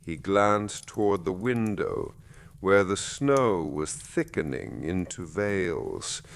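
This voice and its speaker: A man reading a bedtime story in a gentle, measured, soothing way with deliberate pauses. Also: deep voice, animated. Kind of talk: slow soothing scripted narration.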